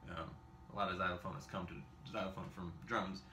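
A man's voice: low-pitched, fairly even intonation, brisk, sharp tone, a little hiss or hum. A man in his thirties giving a casual xylophone lesson in his home kitchen, rambling and self-deprecating, with lots of fillers and small laughs. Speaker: xylophone teacher. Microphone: camera in front at a short distance. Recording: echoey kitchen with xylophone playing.

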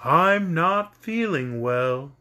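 A man voicing a friendly straw scarecrow with a husky voice, drawling his words slowly and politely in a storybook reading. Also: a little high, animated, pushed and bright, heavily acted. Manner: slow, drawn-out, polite speech.

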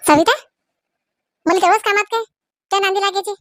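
Stern cartoon village matron acted by a woman, high voice, emphatic scolding, rural Maharashtrian accent, dry sound. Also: a little fast, fairly bright tone, no room sound. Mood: angry. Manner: emphatic, admonishing. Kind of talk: Marathi comedy cartoon dialogue.

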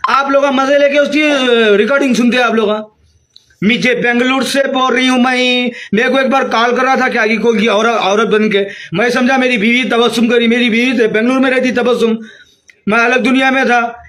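A man in his fifties speaks with a Hyderabadi accent in a very high-pitched voice. He delivers an agitated statement to camera, scolding and repeating himself as he rants. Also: fairly even intonation, moderate pace, fairly bright tone. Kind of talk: angry rant to camera. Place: small room indoors.

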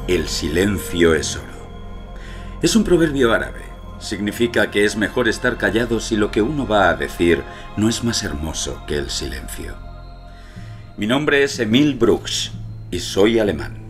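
An actor playing an eloquent, romantic archaeologist who narrates his own story in a poetic, measured way. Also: on the low side, very animated, soft background music. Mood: reflective. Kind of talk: dramatic first-person scripted narration.

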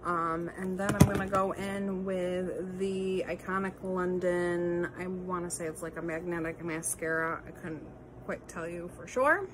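A woman in her thirties with a lower voice talks through her makeup routine, a little nasal and congested, full of ums and small apologies. She speaks close to a phone in her bedroom. A steady hiss sits under the recording. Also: a little slow. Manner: chatty, rambling, many ums, apologetic asides. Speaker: beauty vlogger. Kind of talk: casual makeup tutorial. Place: bedroom at home, indoors. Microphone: phone camera held close.

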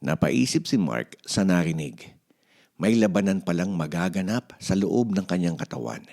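A man's voice: low-pitched, expressive, moderate pace, vocal fry, darkish tone. A man narrating a scripted children's story as a read-aloud.

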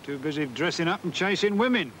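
A man's voice: fairly high pitch, lively intonation, quick pace, fairly bright tone, slight background noise. A man playing an irreverent medieval peasant in an educational drama, wry and mocking, recorded outdoors under trees. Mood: scoffing. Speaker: peasant character.

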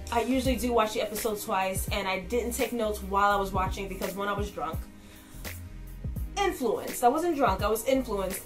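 A young woman talks to her camera with a nasal, stuffed-up voice from a cold. She is chatty and full of slang, and her voice sits a touch high. Soft music plays faintly behind her. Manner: chatty, slangy, animated, laughs often. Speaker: vlogger, TV reviewer. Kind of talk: casual vlog review. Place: indoors, in front of a backdrop.

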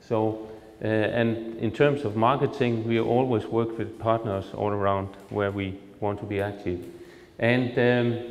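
A man in his fifties with a Danish accent, speaking English as a second language, gives a presentation to farmers into a handheld mic, pausing often with filler sounds as he searches for words. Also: on the low side. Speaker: seed company product manager. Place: large hall with projector screen. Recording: long reverb from a large hall.

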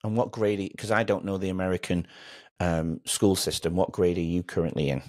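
A man's voice: low voice, steady tone, a little fast, a little mellow, no room sound, studio quality. A middle-aged man, interviewing conversationally as a podcast host. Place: small room with shelves, indoors, on a video call.

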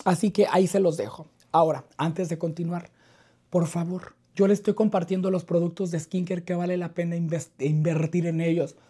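A slightly hoarse man with a mellow voice talks to camera as a beauty vlogger. He is playful and chummy with his viewers, chatting in an animated way in a furnished room. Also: fairly high pitch, normal speed. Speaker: skincare video host. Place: furnished living room indoors. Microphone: clip-on lapel mic.

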